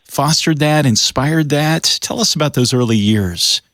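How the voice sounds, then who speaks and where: A man's voice: a little high, unhurried, a bit bright, no room sound, clean studio sound. A man in his forties with an American accent, hosting a casual radio interview. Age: 40s. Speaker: radio show host, interviewer.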